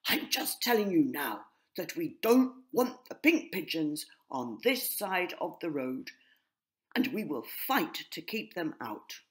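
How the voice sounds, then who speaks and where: A woman voicing a proud, cross male grey pigeon in a children's story, snooty and forceful. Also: sits low, very animated, takes it slowly, full character voice.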